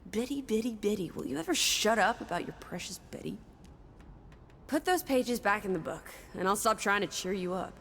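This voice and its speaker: Lively, cheeky young 1920s companion. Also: female voice, mid-range voice, normal speed, long reverb tail, slight background noise. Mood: irritated. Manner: playful, teasing, old-fashioned slang. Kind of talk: video game drama acting.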